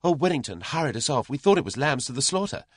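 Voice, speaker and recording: A man voicing a spirited, eager young Englishwoman who speaks in a quick, inquisitive way. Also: mid-range voice, very animated, husky, no echo, studio quality, pitched above the performer's own voice. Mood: eager, quizzical.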